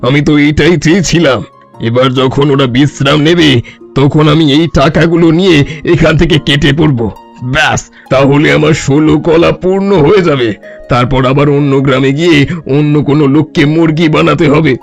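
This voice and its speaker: A man's voice: on the high side, fairly even intonation, brisk, gravelly, soft background music. A man voicing a sly, sweet-talking and cowardly fake holy man in a cartoon story. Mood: scheming.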